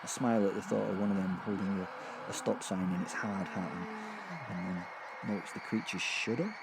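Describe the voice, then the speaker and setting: A man's voice: on the low side, lively intonation, moderate pace, very noisy. A man playing a sadistic, gleeful werewolf killer, narrating a horror audio drama in a rambling, conversational way full of hesitant, amused understatement. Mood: gleeful awe.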